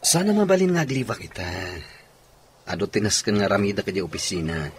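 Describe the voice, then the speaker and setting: A man's voice: on the low side, big pitch swings, a little fast, a little hiss or hum. A man acting an office worker out with his sweetheart in an Ilocano radio drama. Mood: placating.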